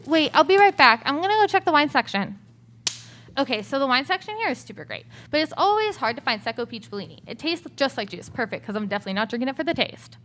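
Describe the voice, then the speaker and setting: A woman's voice: high voice, very animated, fast, fairly bright tone. A young woman acting a fussy, opinionated student in an amateur radio play. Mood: chatty, brisk. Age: young adult.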